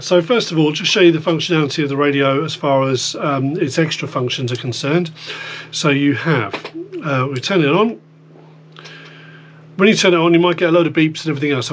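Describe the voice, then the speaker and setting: British man, higher-pitched voice, humming background noise. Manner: informal, rambling, explaining step by step. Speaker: host of a radio gear demonstration video. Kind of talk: casual hands-on product demonstration. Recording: clear background noise with electrical hum.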